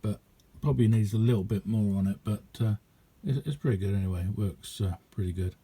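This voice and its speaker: Husky, mellow man rambling casually. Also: fairly low pitch. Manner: hesitant, unhurried, chatty. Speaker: radio hobbyist. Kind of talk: casual hands-on demonstration, rambling.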